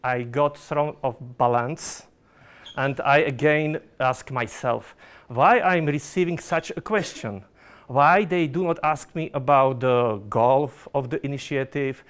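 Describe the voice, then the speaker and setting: A man's voice: medium pitch, unhurried, fairly bright tone, clear, resonant tone. A Slovak man, a product manager, giving a conference presentation in careful non-native phrasing full of fillers and restarts.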